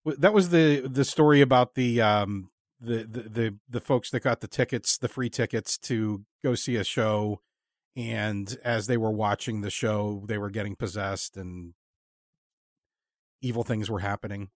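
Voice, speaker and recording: A man interviewing someone casually as host, in a halting way that repeats words while he searches for the next. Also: on the low side, no echo, clean studio sound.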